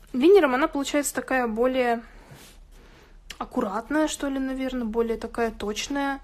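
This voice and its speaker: A woman hosting an informal drawing tutorial, chatting and thinking aloud as she works. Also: a little high. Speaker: art tutorial host. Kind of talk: informal drawing tutorial, explaining while working. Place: indoors at a drawing table.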